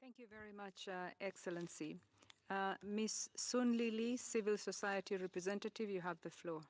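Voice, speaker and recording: A woman's voice: mid-range voice, normal speed, darkish tone. A woman moderating a formal online dialogue, formally calling on speakers and reading out a list of names and countries. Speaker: session moderator. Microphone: remote meeting mic.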